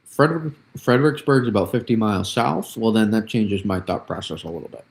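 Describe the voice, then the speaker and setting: A man with vocal fry giving casual live weather commentary, often halting and restarting his words as he thinks. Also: on the low side. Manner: halting, repeats and restarts words mid-thought. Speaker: livestream weather analyst.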